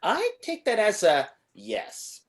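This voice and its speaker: A woman's voice: mid-range voice, huge pitch swings, takes it slowly. A woman playing a sassy robot sidekick to a supervillain in a script read, with a sardonic manner. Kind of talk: amateur table read of a film script.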